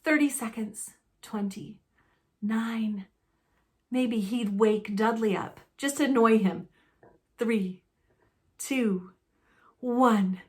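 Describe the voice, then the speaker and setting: A woman narrating a children's novel aloud, expressively, with deliberate and dramatic phrasing. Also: on the high side. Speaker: storybook narrator.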